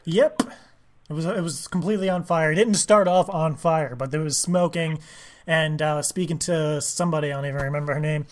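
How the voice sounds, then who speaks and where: A man making a prank call, speaking in a deadpan, mock-earnest way with halting, rambling delivery. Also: fairly high pitch. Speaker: prank caller, host of a prank call show.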